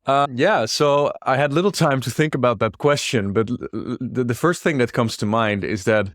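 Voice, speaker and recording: A young Dutch man with a Dutch accent, a startup founder chatting as an interview guest, relaxed and laughing softly. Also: medium pitch, moderate pace, dry recording, clean studio sound. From Netherlands. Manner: relaxed, frequent restarts and soft laughs. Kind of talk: casual interview chat.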